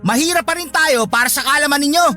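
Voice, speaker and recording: A woman's voice: high voice, fast talker, sharp tone, faint music behind. A woman with a Filipino accent playing a lazy, insolent, bullying grown child in a radio drama. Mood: whiny, resentful. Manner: whiny, brash, defiant.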